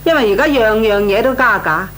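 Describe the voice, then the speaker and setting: An actress playing a blunt, practical, pushy aunt in a Cantonese comic radio drama, emphatic and matter-of-fact. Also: female voice, fairly high pitch, fairly quick, a bit bright, lightly acted. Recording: noisy, humming radio recording.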